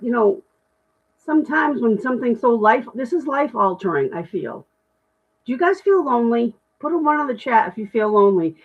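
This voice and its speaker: A middle-aged woman with a deep voice, chatting candidly and self-deprecatingly on a livestream while reading comments. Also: breathy edge, narrow, tinny audio. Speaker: livestream host.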